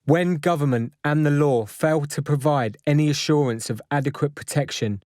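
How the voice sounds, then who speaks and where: A man reading a scripted activist declaration in a solemn, measured, formal way. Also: on the high side, darkish tone, no echo, studio quality. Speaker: activist reader.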